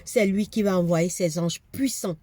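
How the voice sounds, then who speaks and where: A woman's voice: on the low side, normal speed, dark tone, no room sound. Fervent woman preacher with a cold. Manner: fervent preaching, repeats phrases for emphasis. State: has a cold, congested. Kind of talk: sermon.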